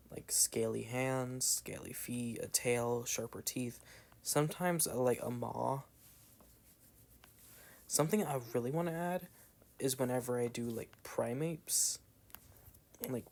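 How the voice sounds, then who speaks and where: A man's voice: mid-range voice, gravelly, a little mellow. A young man with a Southern American accent, chatting in a meandering way while playing a video game. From Southern United States. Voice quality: meandering with frequent "like" fillers and trailing asides. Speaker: gameplay commentator. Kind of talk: rambling casual commentary.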